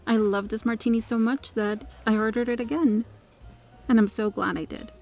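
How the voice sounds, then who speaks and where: A woman's voice: fairly high pitch, fairly even intonation, normal speed, vocal fry, a little mellow. A woman playing a kind, protective restaurant regular in an ASMR roleplay, speaking in a polite, articulate, reassuring way. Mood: reassuring, upbeat. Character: kind, well-spoken female restaurant regular, firmly protective. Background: music.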